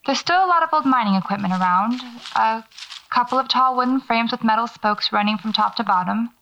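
A woman's voice: on the high side, brisk, fairly bright tone, slight background noise. A woman acting in an audio drama as a wry horror novelist who records understated, musing field notes to herself while out alone at night. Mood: observant, musing. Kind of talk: naturalistic audio fiction monologue, spoken field notes. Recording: dull lo-fi cassette-style tape.

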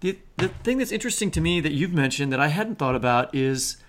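A man co-hosting a podcast in casual chat, halting as he thinks aloud. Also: a little high, lively intonation, over a noisy crowd. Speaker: podcast co-host.

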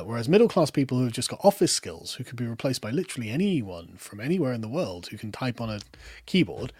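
British guest, rambling talker, middling pitch, dead dry room. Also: male voice, dry recording. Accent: British, lower middle class. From Britain. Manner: rambling, with many restarts and trailing off quietly. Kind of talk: casual political discussion.

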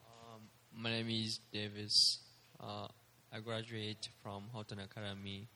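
A man's voice: on the low side, monotone, pushed and bright. A young man from Vietnam, a graduating student, introducing himself hesitantly. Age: young adult. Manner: slow, hesitant.

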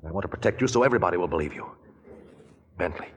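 Urgent scheming confidant, 40s radio drama, swoopy husky voice, echoey recording. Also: male voice, low-pitched, lively intonation, racing. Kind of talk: 1940s radio melodrama acting.

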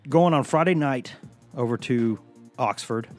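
A man's voice: mid-range voice, takes it slowly, soft background music. Man with a cold, rambling podcast host. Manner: rambling, joking storyteller. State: has a cold. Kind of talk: casual sports podcast chat. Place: studio.

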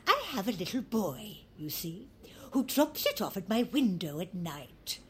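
A woman's voice: medium pitch, very animated, measured pace, a little hiss or hum. An actress playing a mischievous, imperious old British grandmother in an audio drama, blunt and sardonic. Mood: gleeful. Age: older.

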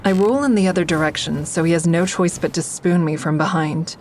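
A woman's voice: lowish voice, brisk, darkish tone, slight background noise, lightly acted. An actress playing a female comic, narrating her love life in an intimate, wry way. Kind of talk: first-person audio drama narration.

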